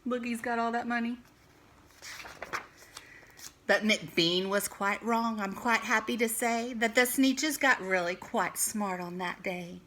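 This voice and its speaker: A woman's voice: a little high, slow pace, a bit bright, slight background noise. A woman reading a children's storybook aloud expressively, like a teacher to young pupils.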